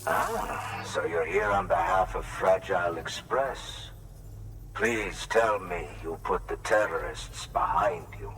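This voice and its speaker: A man's voice: sits low, lively intonation, takes it slowly, gravelly, far from the mic, music in the background, heavily acted, rough recording. An actor playing a guarded, reclusive man in a video game, musing in conversational, emphatic speech. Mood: wary. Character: guarded, reclusive male shelter dweller, grateful but distrustful.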